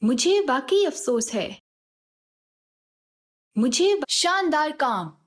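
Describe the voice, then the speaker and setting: Casting: woman to play female squad soldier for a shooter game, high voice, slow delivery, clipped commanding phrases. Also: big pitch swings, heavily acted. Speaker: game voice pack announcer. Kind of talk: scripted tactical shooter callouts in short commands.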